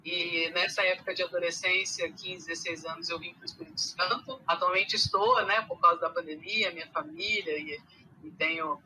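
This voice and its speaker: A woman from Rio de Janeiro with a mixed Brazilian accent, carioca with traces of Minas, chatting as a podcast guest with many pauses and fillers. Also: on the low side, steady tone, pushed and bright, slight background noise, rough recording. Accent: mixed Brazilian Portuguese, carioca with Minas traces. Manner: hesitant, many fillers and restarts. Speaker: guest, animal science teacher. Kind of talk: casual podcast conversation.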